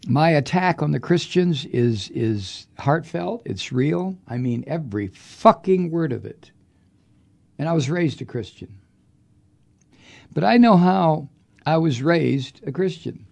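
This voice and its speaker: Gravelly, angry podcast host man. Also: a little high, animated, slow pace, a little mellow. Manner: angry, profane, emphatic ranting. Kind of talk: political commentary monologue.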